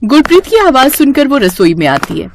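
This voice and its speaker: A woman's voice: sits high, big pitch swings, brisk, dry recording. A woman reading scripted narration for a children's bedtime story.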